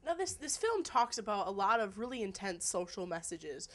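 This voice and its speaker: A woman's voice: medium pitch, sing-song, moderate pace, a bit bright. A young woman, a student interviewer, asking direct and upbeat interview questions.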